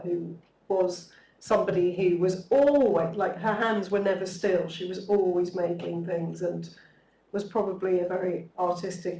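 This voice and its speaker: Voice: mid-range voice, creaky, darkish tone. A panel speaker responding eagerly and thoughtfully, with some fillers. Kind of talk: panel discussion. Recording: roomy far-off mic with faint room noise.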